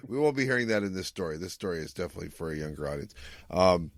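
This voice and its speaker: A man's voice: deep voice, expressive, racing, no echo, clean studio sound. A man hosting a podcast in casual chat, explaining things in a rambling, self-correcting way. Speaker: podcast host.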